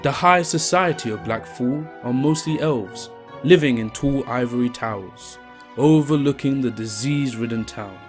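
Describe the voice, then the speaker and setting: A man narrating a fantasy audio drama in a measured storytelling manner. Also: mid-range voice. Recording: narration over faint music.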